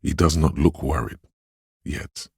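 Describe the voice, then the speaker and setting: A super deep raspy inner voice for a game, solemn n tender, brooding, slow careful wording, really dry sound. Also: male voice, a little mellow, dry recording, exaggerated voice. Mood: brooding, gentle. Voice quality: deep, husky, gravelly. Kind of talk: scripted video game narration.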